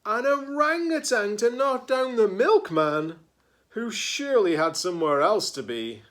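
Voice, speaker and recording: Male storybook reader, librarian style: highly varied pitch, slow delivery, dramatic pauses, playful chatty asides for children. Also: very animated, a bit bright. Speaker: teacher-librarian reading a picture book aloud. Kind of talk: animated children's read-aloud with chatty asides.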